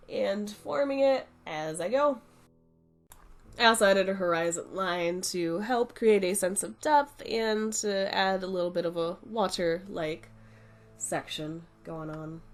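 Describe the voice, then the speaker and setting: Congested woman with a cold. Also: mid-range voice. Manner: chatty, relaxed, explaining as she goes. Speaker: art channel host. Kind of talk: casual art review voice-over.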